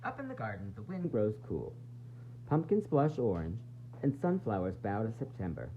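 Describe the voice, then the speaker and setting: A man reading a children's picture book aloud in an expressive, dramatic, sing-song way, with varied emphasis. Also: on the low side, moderate pace. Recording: dull lo-fi sound, faint noise and hum.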